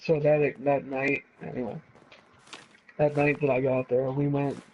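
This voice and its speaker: Young man rambling casually over clear background noise. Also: medium pitch, flat delivery, unhurried, highs cut off. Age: around 20. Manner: sweary, digressive. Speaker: gaming streamer. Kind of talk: casual rambling storytelling while gaming.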